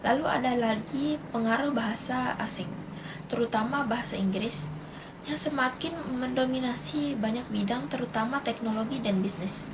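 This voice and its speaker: A woman's voice: fairly high pitch, normal speed. A young adult woman giving a prepared student presentation in a recited storytelling manner, with hesitations. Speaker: university student presenting an assignment. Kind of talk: prepared educational narration. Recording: noisy amateur recording.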